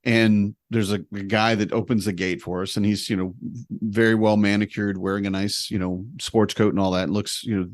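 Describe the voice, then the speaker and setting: Low-voiced man telling stories. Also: moderate pace, hardly any pauses, no echo. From United States. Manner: animated, self-deprecating, humorous storyteller. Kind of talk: casual storytelling conversation.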